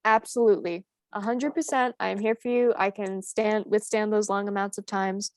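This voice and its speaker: A woman co-hosting a livestream in casual chat, upbeat and quick to agree, talking over a video call. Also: medium pitch, fairly even intonation, darkish tone, no room sound. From United States. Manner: upbeat, quick agreeing interjections, frequent laughs. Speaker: co-host of a translation livestream.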